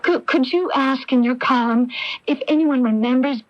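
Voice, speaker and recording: An actress playing a frail, anxious but quietly relentless middle-aged sister in a radio mystery, speaking deliberately on a radio broadcast recording. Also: female voice, high-pitched, lo-fi sound, close to an everyday voice. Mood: anxious, pleading. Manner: halting, repeats words when anxious, deliberate. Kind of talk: old-time radio mystery drama acting.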